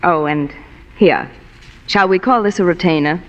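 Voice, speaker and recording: An actress playing a sultry, wealthy, teasing femme fatale in a 1940s noir radio drama, with a coy, polished manner. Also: female voice, lowish voice, unhurried, natural voice. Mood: flirtatious. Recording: muffled, reverberant old radio broadcast.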